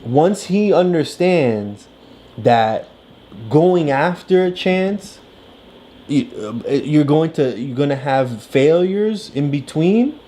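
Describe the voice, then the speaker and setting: Very deep-voiced woman coaching a child. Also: mellow. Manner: encouraging, lecturing, frequent "right?" check-ins. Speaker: parent discussing a picture book with a child. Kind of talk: casual coaching talk about a story.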